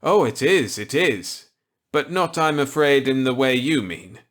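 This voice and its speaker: A man's voice: medium pitch, expressive, measured pace, fairly bright tone, pitched above the performer's own voice. A man voicing a genial, wry, unrepentant scientist in a storybook reading, with clipped, breezy delivery. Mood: earnest, assured.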